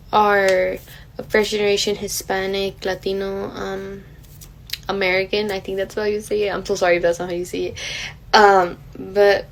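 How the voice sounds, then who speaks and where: A woman's voice: medium pitch, vocal fry, low-quality recording. A young woman giving personal testimony as a student interviewee, chatty, hesitant and a little apologetic.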